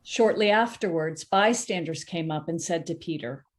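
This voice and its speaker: A woman's voice: lowish voice, normal speed. A woman narrating a scripture passage in a church drama, reading expressively.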